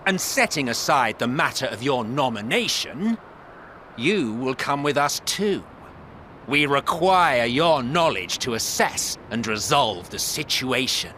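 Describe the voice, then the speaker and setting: A man's voice: medium pitch, animated, measured pace, pushed and bright, a little hiss or hum. A man playing an authoritative council official in a video game, formal, composed and commanding. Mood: stern, grave. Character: stern, authoritative male official of a ruling council. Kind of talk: dramatic video game acting.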